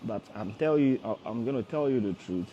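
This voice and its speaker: A man's voice: mid-range voice, animated, a little fast, mellow, dull audio, a little hiss or hum. A man in his thirties, a forex trader hosting a casual livestream, who repeats himself and coaxes viewers directly. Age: 30s. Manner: repetitive, coaxing, addresses viewers directly. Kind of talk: casual motivational livestream talk.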